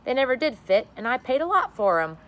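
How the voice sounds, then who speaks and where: A woman's voice: sits high, very animated, fairly quick, a bit bright, voice pushed up. A woman voicing a blunt, thrifty storybook father with a brusque, dismissive manner. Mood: pleased, practical.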